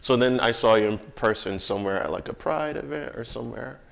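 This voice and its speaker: A man's voice: mid-range voice, fairly quick, gravelly. A man interviewing someone in a casual, conversational way, often chiming in with affirmations and repeating his words.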